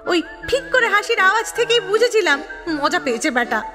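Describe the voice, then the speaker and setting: A woman's voice: very high-pitched, sing-song, a little fast. A woman playing a wistful, lovelorn ghost who narrates an audio drama. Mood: dramatic narration. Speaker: narrator and lead character. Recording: reverberant hall sound under music. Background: prominent background music.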